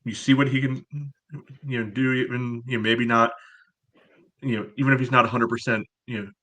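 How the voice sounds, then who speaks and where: A man on a casual sports podcast panel, talking in a halting way full of verbal tics. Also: medium pitch, fairly even intonation, a bit bright. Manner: halting, peppered with "you know".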